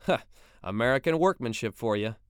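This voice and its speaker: A man playing a pompous, anxious suburban neighbor in a read-aloud story, lecturing loudly. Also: mid-range voice, expressive, a little fast, clean tone, no room sound, clean studio sound, lightly acted. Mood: casual.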